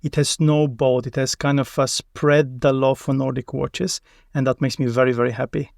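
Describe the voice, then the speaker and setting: A man's voice: medium pitch, level tone, moderate pace, vocal fry, darkish tone, dry recording. Finnish-accented man as podcast guest, mid voice, dry sound, careful English. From Finland. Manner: careful non-native English with restarts. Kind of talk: casual interview chat. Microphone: video call.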